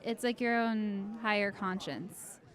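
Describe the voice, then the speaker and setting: A woman's voice: mid-range voice, steady tone, takes it slowly, crowd noise. Casual woman thinking aloud. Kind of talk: candid interview answers, casual and reflective.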